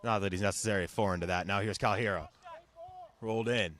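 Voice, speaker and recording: A man's voice: on the low side, steady tone, quick pace, a bit bright. A man calling live soccer play-by-play in clipped, fragmentary phrases. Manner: clipped, fragmentary calls of the action. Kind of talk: live television sports commentary.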